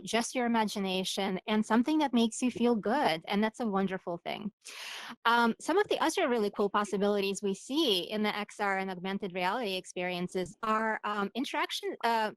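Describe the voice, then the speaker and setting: A woman's voice: a little high, no room sound, rough recording. A woman from Ukraine with a slight Ukrainian accent, a co-founder giving a conversational talk full of ums and likes. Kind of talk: presentation talk.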